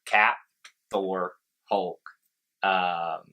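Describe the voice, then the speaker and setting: A man's voice: sits low, steady tone, drawn-out words, bright, forceful tone. Playful, emphatic man chatting. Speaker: movie review co-host. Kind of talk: casual review chat.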